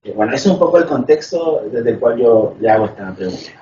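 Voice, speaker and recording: A man's voice: medium pitch, lively intonation, dark tone, slight background noise. Man from Chile, methodical, echoey distant room. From northern Chile. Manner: measured, methodical, frequent "eh" fillers. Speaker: audience member asking a question. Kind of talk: conference Q&A question. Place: lecture hall. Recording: echoey, distant, dull lo-fi sound.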